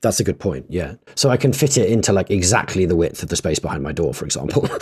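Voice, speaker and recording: A man co-hosting a casual podcast and talking through his thoughts as he goes, pausing and restarting his sentences. His voice sits very low with a husky, breathy edge. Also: expressive, a little mellow. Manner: thinks aloud, hesitant, laughs often. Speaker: podcast co-host. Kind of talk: casual chat.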